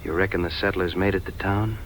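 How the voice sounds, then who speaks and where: A male actor as a folksy hunting companion in a western audio drama. The voice is deep and inquisitive. Obvious ambient noise surrounds it. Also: fairly even intonation, a little fast, natural voice. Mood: curious.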